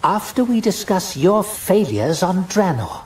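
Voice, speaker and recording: A man's voice: sits high, big pitch swings, unhurried, voice pushed up. A man voicing a cold, stern female elf warden. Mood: cold, accusing. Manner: cold, accusing, clipped. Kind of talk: audiobook character voice.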